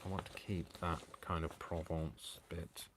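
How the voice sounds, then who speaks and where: Deep-voiced man crafting live. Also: level tone, a little slow, noisy background. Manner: self-mocking, talks to himself. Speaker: crafting video host. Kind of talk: live crafting stream, chatty self-talk.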